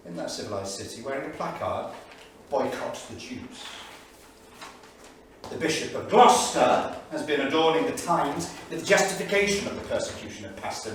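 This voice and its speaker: 1938 Cambridge diarist: learned, anxious, wry, deliberate. Stage reading, distant and very roomy sound. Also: male voice, on the high side, sing-song, a bit bright. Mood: troubled, ironic. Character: erudite, anxious English Cambridge don keeping a 1938 journal. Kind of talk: staged reading of journal entries, theatrical. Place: small studio theatre, live staged reading. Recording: very echoey theatre, distant mic, faint noise.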